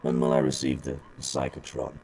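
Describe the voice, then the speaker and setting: Sinister, extremely deep gravelly client. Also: male voice, completely flat delivery, normal speed, crowd noise. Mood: cold, menacing. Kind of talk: audio drama acting.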